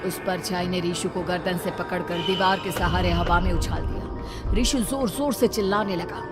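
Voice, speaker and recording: A woman's voice: on the low side, moderate pace. A woman reading scripted horror story narration as the storyteller. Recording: music playing behind narration.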